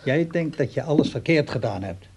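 An actor playing a lonely, brooding old widowed father in a radio play, with a slow, halting, musing way of talking. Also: on the high side, animated, creaky, a little mellow, slightly rough, heavily acted. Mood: grumbling. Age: older.

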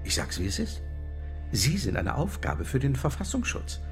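An actor playing a cynical intelligence agent in a radio drama, blunt, matter-of-fact and glib as he makes his pitch. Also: huge pitch swings, normal speed, gravelly, over music. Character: smug, cynical male intelligence agent recruiting informants. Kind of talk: German radio drama acting.

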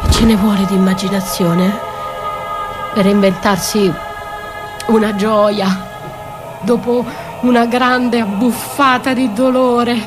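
Over music, an actress plays a battered wife in a theatrical radio monologue. She speaks very slowly and intensely, wounded and accusing, with a defiant edge. Her female voice sits at an average pitch. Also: fairly even intonation, close to an everyday voice. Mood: wistful. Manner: slow, intense delivery swinging from whispers to cries. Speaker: stage actress performing a solo monologue.

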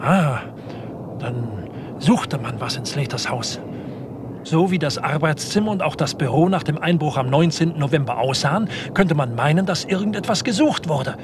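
Actor as high-voiced, eager detective sidekick. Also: male voice, expressive, moderate pace, lightly acted. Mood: puzzling it out. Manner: hesitant, thinking aloud. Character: eager male detective sidekick, deferential yet grumbling. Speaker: detective's subordinate. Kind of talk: 1960s German radio crime drama acting. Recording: noisy old radio play recording.

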